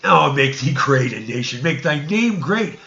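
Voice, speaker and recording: A man's voice: mid-range voice, animated, moderate pace, creaky, pushed and bright, highs cut off. A man voicing God in a scripture story, solemn and commanding, with archaic, declamatory phrasing.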